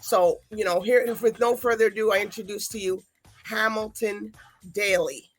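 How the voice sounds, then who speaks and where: A woman's voice: mid-range voice, fairly even intonation, moderate pace, faint music behind. A woman hosting a talk show, chatting loosely and rambling between segments. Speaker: show host.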